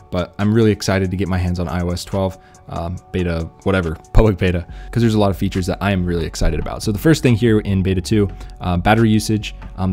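A man's voice: low voice, darkish tone. A man giving casual, laid-back tech news commentary in an informal, slangy way.

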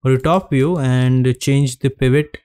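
A man narrating a screen-recorded 3D modeling tutorial, giving calm step-by-step instructions with frequent pauses. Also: mid-range voice, smooth, no room sound, studio quality.

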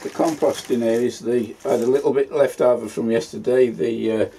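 A man's voice: medium pitch, fairly even intonation, fairly quick, mellow, slight background noise, poor audio. A man, chatting casually as he shows his allotment work in a greenhouse. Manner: chatty, homely, plain-spoken. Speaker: allotment vlogger. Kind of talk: casual gardening vlog narration.

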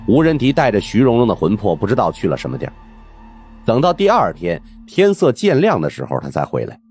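Male audiobook narrator, lowish voice, hugely varied intonation, suspense storytelling, soft music behind. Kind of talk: expressive first-person storytelling of a supernatural mystery. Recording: music under the voice.

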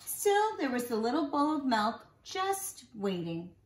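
A woman in her forties reads a children's picture book aloud in an expressive way, drawing out key words for young listeners. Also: sits high, a little hiss or hum. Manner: animated storytelling for young children, drawn-out emphasized words. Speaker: nursery school teacher reading bedtime stories. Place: small living room at home, indoors. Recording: roomy home recording.

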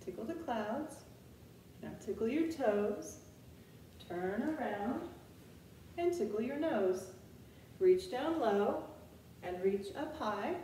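A middle-aged woman leads preschool storytime in a fairly high voice at an unhurried pace. She gives simple prompts meant for young children. The sound is that of a home room, echoey with a faint layer of noise. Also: lively intonation, dark tone. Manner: simple, clear step-by-step prompts addressed to small children. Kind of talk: children's storytime instruction, rhymes and counting. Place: indoors, open living room and kitchen at home. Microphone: camera mic a few feet away.

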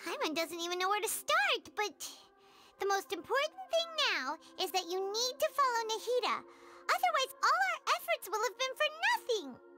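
A woman voicing a tiny, bratty, excitable fairy companion who talks about herself in the third person, in a video game. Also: normal speed. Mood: earnest. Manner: squeaky, childish, speaks of herself in third person. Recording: game audio with loud music behind.

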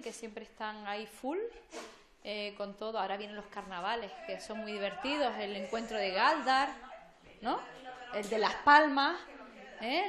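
Woman giving informal speech, highish voice, echoey room with crowd noise. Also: a bit bright. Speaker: speaker at a community event. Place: medium-sized indoor hall. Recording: echoey room, audience sounds.

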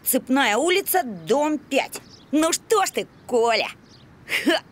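A woman voicing an earnest, excitable schoolboy in an animated cartoon, boyish and a little hesitant. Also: high-pitched, slow, slight background noise, full character voice. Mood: conflicted.

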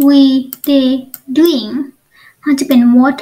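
A woman's voice: high voice, very slow, mellow, poor audio. A woman with a Lao accent teaching English in an online lesson, explaining step by step and often asking check questions.

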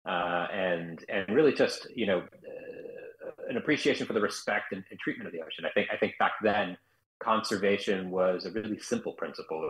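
A man's voice: low-pitched, a bit bright, roomy sound, poor audio. A man from South Florida, a guest entrepreneur answering interview questions with many fillers and restarts.

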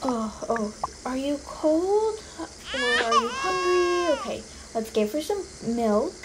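A woman improvising a role-play story as a mother character, chatty and play-acting, sometimes hushed. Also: very high-pitched, sing-song, slow pace, heavy background noise. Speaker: role-play video narrator. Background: background music.

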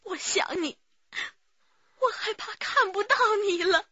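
Very high, plaintive young sister. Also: female voice, lively intonation, unhurried, bright, forceful tone, no room sound, muffled recording, exaggerated voice. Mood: anguished, tearful. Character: grieving younger sister, tearful, pleading, devoted. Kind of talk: Chinese radio drama acting.

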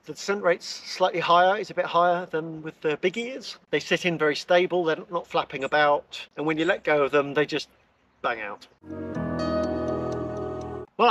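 A man's voice: high voice, fairly bright tone, a little hiss or hum. Chatty man reviewing gear outdoors. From England. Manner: chatty, enthusiastic, frequent restarts. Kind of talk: casual product review. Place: sunny garden outdoors.

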